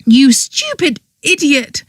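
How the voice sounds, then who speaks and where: A woman's voice: extremely high pitch, very animated, slow pace, no echo, studio quality, voice pushed up, exaggerated voice. A woman voicing a furious, tearful young woman accused of murder, shrieking and bitter. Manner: shrieking, bitter, near tears.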